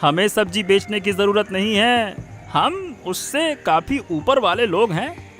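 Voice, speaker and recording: A man voicing a smug, scheming village villain in an animated moral story, boasting and gloating. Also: high-pitched, unhurried, soft background music.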